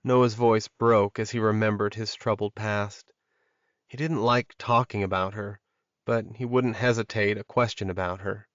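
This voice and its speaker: A man's voice: fairly low pitch, fairly even intonation, measured pace, a bit bright, no room sound. Male audiobook narrator, dry and slightly dull. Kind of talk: scripted fiction narration.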